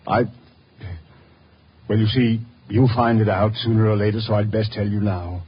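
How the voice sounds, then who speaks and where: A man's voice: lowish voice, level tone, normal speed, natural voice. Actor as a troubled, genteel father, old radio. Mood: despairing, defensive. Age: middle-aged. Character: troubled, genteel middle-aged father, anguished and evasive. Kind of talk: 1950s radio drama acting. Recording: dull, noisy 1950 radio recording.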